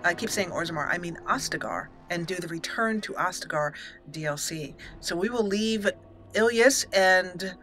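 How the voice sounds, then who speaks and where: Bright-voiced woman doing game commentary. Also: fairly low pitch, normal speed, a little hiss or hum. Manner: chatty, thinking aloud. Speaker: gaming channel host. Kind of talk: casual let's play commentary. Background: game music.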